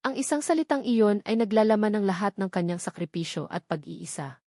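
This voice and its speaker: A woman's voice: mid-range voice, lively intonation, fairly quick, darkish tone, no room sound. Woman narrating drama, dry close sound. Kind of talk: dramatic scripted story narration.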